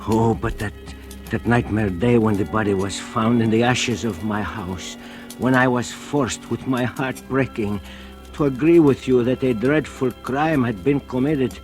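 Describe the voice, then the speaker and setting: An actor playing an old, gentle blind cobbler in a 1940s radio drama, speaking haltingly and earnestly with a soft foreign lilt. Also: male voice, medium pitch, close to an everyday voice. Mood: weary, sorrowful. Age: older. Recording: old radio broadcast with music behind.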